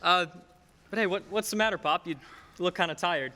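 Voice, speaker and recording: A man's voice: high voice, fairly quick, sharp tone. A man playing an eager, affectionate young son full of wanderlust in a stage radio play. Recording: reverberant hall stage recording.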